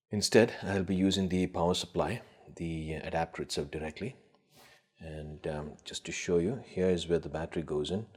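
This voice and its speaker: A man with a husky voice giving casual, tentative unboxing commentary, thinking aloud as host. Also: sits low, a little mellow. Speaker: gadget collector and video host.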